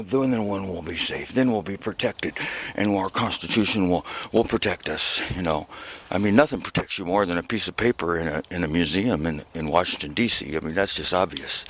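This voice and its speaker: A man's voice: lowish voice, a bit bright. A man giving a rambling, off-the-cuff monologue as a vlogger, with a sarcastic, mock-earnest manner.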